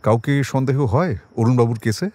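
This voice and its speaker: An actor in an audio drama playing a composed police detective who questions people politely but with quiet suspicion. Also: male voice, mid-range voice, normal speed, no room sound, natural voice. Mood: probing, composed.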